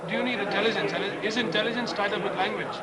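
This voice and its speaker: A man's voice: high voice, fast talker. A man explaining a point outdoors, posing questions as he talks. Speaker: interviewee. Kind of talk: conversational explanation. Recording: loud crowd noise outdoors.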